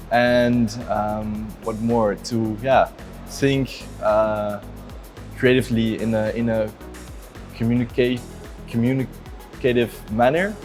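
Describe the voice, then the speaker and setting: A man's voice: medium pitch, a little mellow. Hesitant young man, searching for words. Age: young adult. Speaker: project participant. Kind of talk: casual testimonial.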